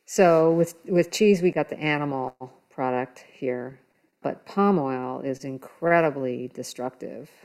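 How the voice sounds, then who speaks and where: A woman's voice: very deep voice, a little slow, darkish tone. A woman on a podcast panel, reasoning aloud in casual conversation.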